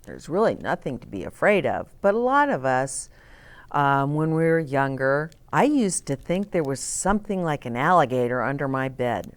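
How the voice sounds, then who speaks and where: A woman's voice: rumbling low, big pitch swings, normal speed. A woman hosting story time for young children, reading aloud with dramatic emphasis and explaining things simply with questions to the listener. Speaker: children's storybook reader and host.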